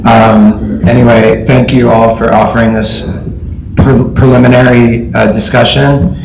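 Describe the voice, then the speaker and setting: A man with a scratchy voice, a teacher and parent, speaking at a school board meeting in a measured, halting way. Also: mid-range voice, flat delivery. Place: meeting room. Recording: roomy meeting hall audio, faint noise.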